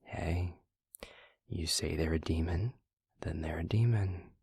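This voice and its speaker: A man performs a resentful, evasive vampire in a roleplay audio drama. The husky voice is slow and full of creak, with a sullen, sarcastic edge and a very dry, close sound. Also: low voice, darkish tone, no room sound, exaggerated voice. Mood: sarcastic, placating.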